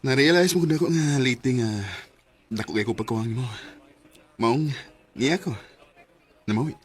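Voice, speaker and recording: An actor with a Cebuano Filipino accent playing an earnest, apologetic young boyfriend in a radio drama, speaking hesitantly. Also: male voice, medium pitch, animated, normal speed, husky. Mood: apologetic, tender. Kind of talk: Bisaya radio drama acting.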